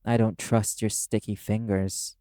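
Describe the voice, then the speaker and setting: Man playing a low, gruff, brash wrath demon. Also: little pitch movement, measured pace, mellow, no room sound, clean studio sound, lightly acted. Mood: defiant. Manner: curt, gruff, cool-headed. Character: brash, guarded, tough male wrath demon. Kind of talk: dramatic audio roleplay acting. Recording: music under the voice. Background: music.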